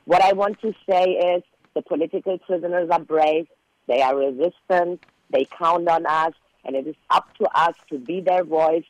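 Earnest high-voiced man, Persian native speaker. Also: moderate pace, no room sound, dull audio. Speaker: guest, relative of a political prisoner. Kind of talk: prepared advocacy statement.

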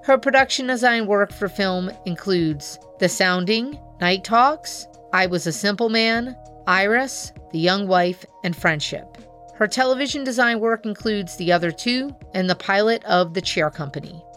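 A woman hosting a podcast, reading a formal scripted introduction and asking interview questions in a measured way. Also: medium pitch, a bit bright, faint music behind. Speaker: podcast host.

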